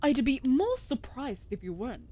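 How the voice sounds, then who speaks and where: A woman voicing a female wolf familiar in a fantasy comfort audio drama, coaxing softly with dry, teasing banter. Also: sits high, very animated, normal speed. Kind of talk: scripted comfort audio roleplay.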